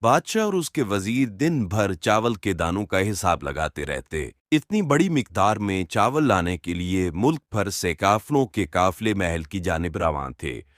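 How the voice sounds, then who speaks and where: A man narrating scripted children's stories as an expressive storyteller. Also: deep voice, measured pace, few pauses, dry recording, studio quality.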